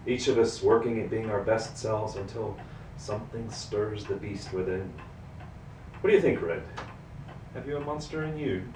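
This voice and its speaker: An actor playing a gentlemanly, bookish, brooding neighbor in a live book reading, speaking in a courtly, measured, musing way. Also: male voice, fairly low pitch, lightly acted. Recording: roomy, distant microphone, noisy ambience.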